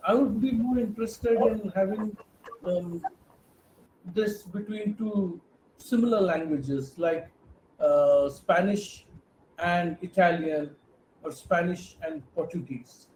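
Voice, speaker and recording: A man with a high voice asks a polite, hesitant question from an echoey room. Clear background noise can be heard behind him. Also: takes it slowly, darkish tone. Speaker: audience member asking a question. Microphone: video call.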